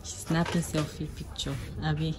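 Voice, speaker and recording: A young woman chatting casually to her phone camera as a vlogger, laughing often and talking in an animated way. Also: deep voice, normal speed. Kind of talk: casual vlog talk to camera. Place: indoors, hallway of a building. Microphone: phone camera at arm's length. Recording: noisy phone vlog audio.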